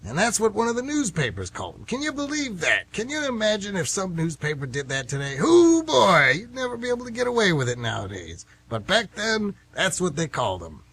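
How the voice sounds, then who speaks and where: Guy doing a nostalgic old ball fan in a radio play, highish husky voice, swoops all over. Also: wildly swooping pitch, a little fast, few pauses, fairly bright tone, full character voice. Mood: nostalgic, animated. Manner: folksy, rambling, emphatic storytelling. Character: excitable nostalgic older American man, lifelong baseball fan. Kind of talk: amateur radio play acting. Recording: amateur radio broadcast.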